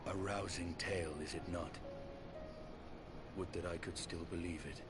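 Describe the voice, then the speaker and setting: Deep male voice, actor playing solemn noble knight, archaic formal diction, fantasy video game, prominent background music. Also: fairly even intonation, moderate pace, close to an everyday voice. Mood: troubled, pondering. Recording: voice under loud orchestral game music.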